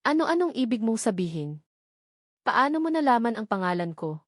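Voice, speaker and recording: A woman's voice: fairly high pitch, big pitch swings, dry recording, studio quality. A woman reading the part of a wary, nervous young woman who speaks hesitantly in an audio love story. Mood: startled. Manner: hesitant, halting.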